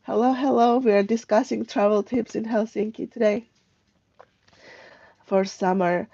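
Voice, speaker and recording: Casting: woman livestream host, soft mellow tone, unscripted rambling chat, slightly dull home audio. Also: on the high side, fairly even intonation, vocal fry, no room sound. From Armenia. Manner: halting, rambling, conversational. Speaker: live stream host, travel tips. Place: at home, indoors.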